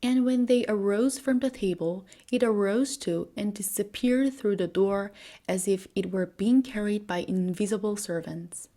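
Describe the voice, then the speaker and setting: A woman storyteller reading a scripted bedtime fairy tale at a medium pitch. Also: measured pace, warm tone.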